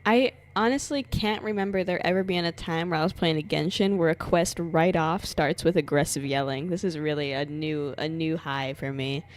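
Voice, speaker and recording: A woman's voice: low voice, normal speed, hardly any pauses, slight background noise. Playful young woman streaming a game. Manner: playful, reactive, exclamatory. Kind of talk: casual live gameplay commentary. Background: game music.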